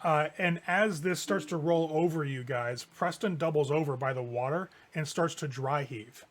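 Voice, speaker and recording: Man, game master, fairly high voice, tabletop horror narration, casual improvised phrasing. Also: moderate pace.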